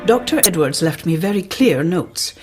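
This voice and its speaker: Actress as a frosty, formal head nurse. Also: female voice, on the low side, animated, normal speed, over loud music, natural voice. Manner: stiff, formal, disapproving. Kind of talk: radio drama acting.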